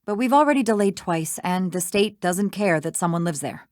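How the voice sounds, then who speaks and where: An actress playing a busy, sardonic small-town lawyer in a video game, with a dry, exasperated, matter-of-fact manner. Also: female voice, on the low side, expressive, moderate pace, a little mellow, no room sound, lightly acted. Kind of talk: scripted video game dialogue.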